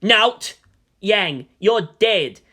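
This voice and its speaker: A man's voice: high voice, lively intonation, extremely slow, sharp tone, voice pushed up, exaggerated voice. A man voicing a despairing army colonel, bitter and weary, with emphatic delivery. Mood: startled, exasperated.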